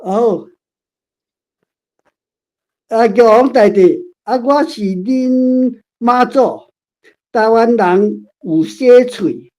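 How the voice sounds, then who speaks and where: Halting Taiwanese-accented woman, very slow reader. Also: fairly high pitch, a little mellow. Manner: hesitant, sounding out words piece by piece. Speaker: participant reading a script. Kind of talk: halting read-aloud. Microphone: online call. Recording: dull lo-fi online call audio.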